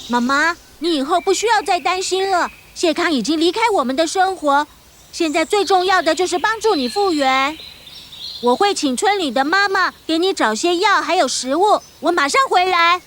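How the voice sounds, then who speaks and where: Woman as cartoon jungle boy, very high voice, earnest, children's storybook, noisy background. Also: moderate pace, fairly bright tone. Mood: caring, earnest. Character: Mowgli, earnest human boy raised by wolves.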